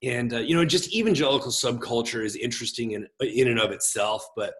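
Expressive big-voiced man in his forties. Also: medium pitch. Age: 40s. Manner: expressive, gregarious, halting with self-interruptions. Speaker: guest, pastor. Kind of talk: reflective personal storytelling in conversation.

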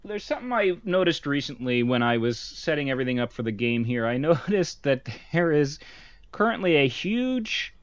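A man's voice: on the high side, big pitch swings, moderate pace, clean tone, no echo, lo-fi sound. A young man co-hosting a podcast, chatting casually and jokily over a board game with lots of fillers and restarts. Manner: jokey, rambling, many fillers and restarts. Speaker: podcast co-host.

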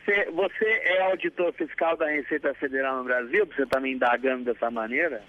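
A man's voice: medium pitch, brisk, soft background music. A Brazilian man answering a prank call, getting angry and swearing, heard over a phone line. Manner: calm then angry, swearing.

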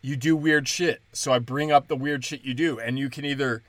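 A young man, a stand-up comedian, rambling crudely and mockingly through a solo podcast, breaking into high mimicking voices. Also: fairly even intonation, moderate pace, a bit bright, no echo.

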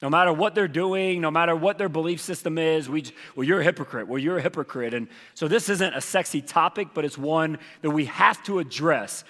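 A man preaching as lead pastor, hoarse from losing his voice, talking casually with many fillers. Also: fairly high pitch, fairly quick, few pauses, fairly bright tone, in a large hall. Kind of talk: sermon with announcements. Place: church auditorium.

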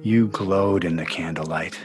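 An actor playing an aggrieved, sentimental ex-husband and sports fan in a radio play, speaking plaintively. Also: male voice, deep voice, unhurried, faint music behind. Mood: wistful.

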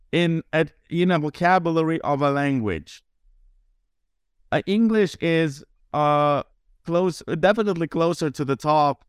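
Podcast guest: man, smooth dry voice, earnest explainer with wry wit. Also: fairly high pitch, no echo. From Lithuania. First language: Lithuanian. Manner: earnest, explanatory, dryly humorous. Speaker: guest, translator and philosopher. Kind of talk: casual podcast conversation.

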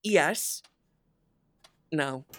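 An actor playing a worried, questioning young son in an audio drama. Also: male voice, on the high side, lively intonation, drawn-out words, no echo. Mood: uneasy.

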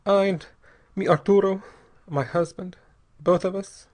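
A man voicing a terrified, despairing young married woman in an audiobook story, with a breathy, halting, pleading delivery. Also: high voice, animated, a little mellow, voice pushed up, exaggerated voice. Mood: dull despair. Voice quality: breathy, gasping.

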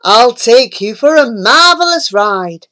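A woman voicing a big, boastful, friendly male toad in a storybook poem, speaking loudly and heartily. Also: a little high, expressive, slow, heavily acted. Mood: hearty. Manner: loud, hearty, pleased with himself.

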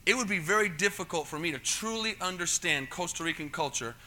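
A man's voice: sits high, animated, brisk, bright, forceful tone, low-quality recording. A middle-aged American man preaching a sermon, teaching in a conversational way, repeating words and checking that the congregation follows. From United States. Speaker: pastor.

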